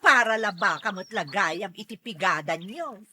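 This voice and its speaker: A woman's voice: mid-range voice, animated, normal speed, bright, forceful tone. A woman playing a nosy, teasing neighbour in an audio drama, taunting in a playful way. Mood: teasing, mocking. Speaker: voice actor in a drama. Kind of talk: Ilocano audio drama acting.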